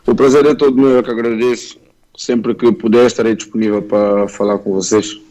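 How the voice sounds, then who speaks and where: A man's voice: medium pitch, little pitch movement, fairly quick, dark tone, rough recording. A young man, born in Angola and raised in Portugal, answering interview questions politely and modestly as a footballer. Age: young adult. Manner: polite, modest, plain-spoken. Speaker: footballer guest.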